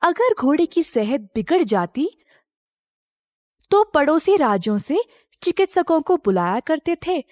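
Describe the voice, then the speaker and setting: Woman, children's storyteller, high-pitched, lively intonation, scripted narration, dry sound. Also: unhurried, no room sound.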